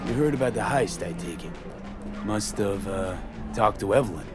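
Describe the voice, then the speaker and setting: A man's voice: lowish voice, lively intonation, moderate pace, loud music behind the voice, natural voice. An actor playing a cool, determined male mercenary in a video game, speaking in a terse, controlled way. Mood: earnest, pressing. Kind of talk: naturalistic video game voice acting.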